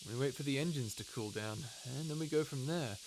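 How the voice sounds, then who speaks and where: A man's voice: mid-range voice, fairly quick, noisy background, close to an everyday voice. A man playing a sarcastic, easily exasperated human scientist in an informal, conversational audio roleplay drama. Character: wry, grumbling human scientist, reluctant companion of a robot.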